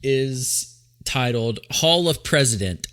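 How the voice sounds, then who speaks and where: A man's voice: mid-range voice, expressive, slow pace, fairly bright tone. A young man from East Tennessee with a light East Tennessee accent, co-hosting a podcast in a chatty, laughing way. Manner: chatty, laughs often, lots of fillers. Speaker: podcast co-host. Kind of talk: casual chat.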